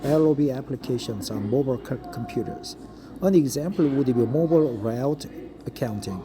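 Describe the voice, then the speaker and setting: A middle-aged man with a Korean accent and Korean as his first language reads an article aloud in English to practice, hesitating often with fillers and stopping to repeat phrases he likes. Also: medium pitch. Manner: hesitant, frequent uh fillers, pauses to savor phrases. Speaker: English learner reading aloud. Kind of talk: self-study reading practice with commentary. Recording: noticeable background noise.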